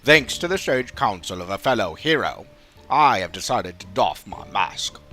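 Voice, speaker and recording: A man's voice: low-pitched, animated, normal speed, pushed and bright, soft background music, pitched below the performer's own voice, full character voice. A man voicing a grandiose, unhinged self-proclaimed hero in a fantasy game, pompous and theatrical as he declaims. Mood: boastful. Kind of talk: fantasy game villain dialogue.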